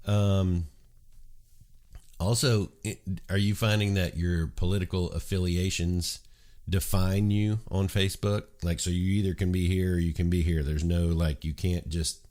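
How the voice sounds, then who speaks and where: Congested man with a very deep voice. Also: unhurried. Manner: relaxed, rambling, many ums and uhs. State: congested from a cold. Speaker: host. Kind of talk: casual morning chat show.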